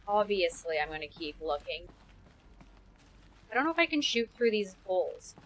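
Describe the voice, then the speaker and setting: A woman's voice: medium pitch, sing-song, normal speed, a bit bright, slight background noise. A woman game streamer giving casual playthrough commentary, muttering reactions to herself as she plays.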